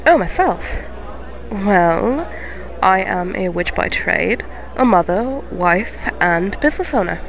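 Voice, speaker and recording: A woman playing a gentle, motherly young cat witch who keeps a tavern, speaking softly and formally in an ASMR fantasy roleplay. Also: mid-range voice, expressive, creaky, low-quality recording. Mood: welcoming, polite. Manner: soft-spoken, formal, unhurried phrasing. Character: gentle eighteen-year-old neko witch tavern keeper, motherly, polite.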